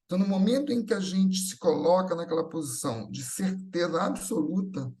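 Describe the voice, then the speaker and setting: A man's voice: sits high, fairly quick, darkish tone. A man with a Brazilian Portuguese accent giving a semi-improvised spiritual talk, teaching with repeated phrases and short tag questions. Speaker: speaker at a Spiritist gathering.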